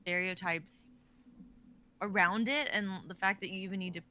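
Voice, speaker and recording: Lady with a bright voice chatting all animated, little background noise. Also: medium pitch, moderate pace. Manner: animated, quotes others in mock voices. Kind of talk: casual chat.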